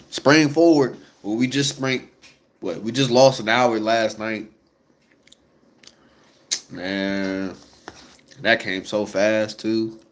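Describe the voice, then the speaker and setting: A young man talks to his viewers in a loose, rambling way, piling up fillers and slang. His voice sits at a middle pitch and sounds stuffed up and congested. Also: slight background noise. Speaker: vlogger. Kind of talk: casual chat to viewers.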